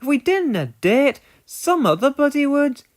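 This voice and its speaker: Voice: high-pitched, big pitch swings, moderate pace, voice pushed up. A storybook narrator voicing an easygoing, hard-working male steam engine with a Scottish accent, speaking in an emphatic, matter-of-fact way.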